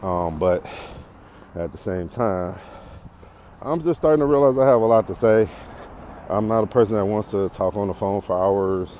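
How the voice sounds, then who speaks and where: An American man in his forties talking casually and unscripted as a podcast host, in a reflective, halting, rambling way while walking outdoors. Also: fairly low pitch, darkish tone. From Detroit area, United States. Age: 40s. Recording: clearly noisy outdoor walking recording.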